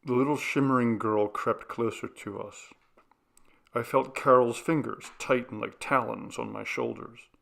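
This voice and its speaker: A man's voice: mid-range voice, fairly even intonation, a little slow, vocal fry, fairly bright tone. A man narrating a scripted ghost story as an audiobook reader.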